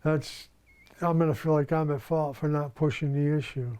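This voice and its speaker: Dark, mellow-voiced man in an interview. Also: on the high side, steady tone, normal speed, clean tone. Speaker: local interviewee. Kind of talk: unscripted news interview.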